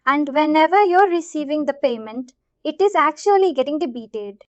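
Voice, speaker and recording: A woman with an Indian accent and a high voice works through an informal software lesson. She repeats her points and checks that learners keep up. The sound is dry and slightly dull, like lo-fi online class audio. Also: expressive, normal speed, dry recording. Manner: repetitive, checks that listeners follow. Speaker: software instructor. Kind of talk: informal live tutorial explanation.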